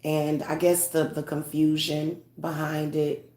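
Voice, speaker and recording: A woman speaking as a spiritual medium in a casual monologue, congested, halting with many fillers and restarts, earnest and tender. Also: low voice, little pitch movement, dark tone.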